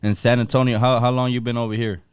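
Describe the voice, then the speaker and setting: A man's voice: medium pitch, flat delivery, fast talker, clear, resonant tone, dry recording, clean studio sound. A man hosting a podcast, chatting casually in a laid-back way with frequent filler phrases. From Mexico. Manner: laid-back, repeats "that's what's up" and "you know what I'm saying". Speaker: podcast host. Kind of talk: casual interview chat.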